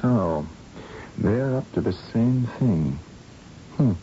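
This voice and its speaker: A man's voice: mid-range voice, animated, slow pace, dark tone. A man in an old radio drama playing an earnest, determined scientist from Earth, with a grave manner. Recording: dull, noisy old radio recording.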